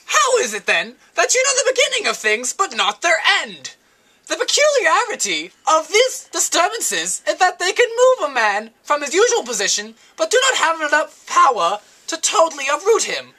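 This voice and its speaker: Stern female spirit of wisdom, acted by a woman, high-pitched, bright, theatrical, declamatory, lofty teacher, philosophical stage dialogue. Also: wildly swooping pitch, normal speed, heavily acted. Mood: probing. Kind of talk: dramatic reading of a philosophical dialogue.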